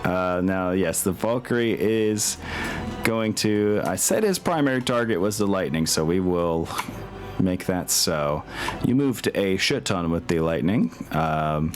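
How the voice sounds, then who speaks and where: A man's voice: deep voice, expressive, measured pace. A man acting as game master of a tabletop wargame, talking casually and thinking aloud as he tallies rolls, with music behind him.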